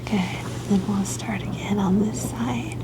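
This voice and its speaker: A woman in a whispered ASMR roleplay as a gentle, reassuring doctor, with a soft, breathy delivery. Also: mid-range voice, steady tone, unhurried. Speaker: ASMR performer playing a doctor.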